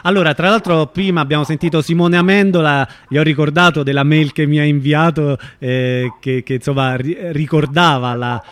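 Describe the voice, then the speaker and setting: A man's voice: fairly high pitch, fairly even intonation, normal speed, hardly any pauses. A man hosting a live radio interview, informal and loosely phrased, with hesitations as he makes announcements and puts questions. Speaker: radio show host.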